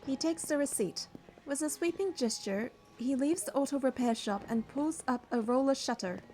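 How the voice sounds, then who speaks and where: An actress playing a caring, curious young heroine who narrates and speaks in a romance game, measured and earnest. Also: female voice, sits high, darkish tone, faint music behind, lightly acted. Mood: measured, observant. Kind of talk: first-person narration and dialogue in a romance game.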